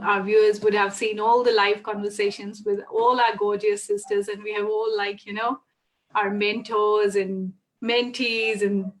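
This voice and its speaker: A woman's voice: medium pitch, poor audio. Effusive woman hosting a live call. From Australia. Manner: warm, effusive, laughs often. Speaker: host. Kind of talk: casual spiritual chat on a live video call. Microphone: video call.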